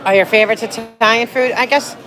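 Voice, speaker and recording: A woman's voice: fairly high pitch, steady tone, a little fast, music in the background. A young woman from New Jersey chatting casually on a livestream, rambling and reading viewer comments aloud in a restaurant. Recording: crowd chatter in a busy public place.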